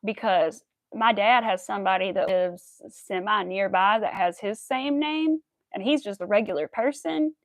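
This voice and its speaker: A woman's voice: medium pitch, normal speed, hardly any pauses, no echo. An American woman in her early thirties chatting casually and in a rambling way on a crafting livestream. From United States. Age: early 30s. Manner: chatty, rambling, counts stitches aloud. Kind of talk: casual livestream chat while crocheting.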